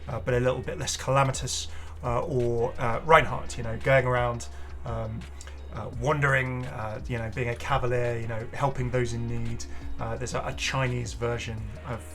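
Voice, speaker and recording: A man's voice: medium pitch, steady tone, normal speed, hardly any pauses, a bit bright. A man hosting a gaming video, giving casual, chatty and enthusiastic commentary with rambling asides. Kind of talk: casual game showcase commentary. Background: music.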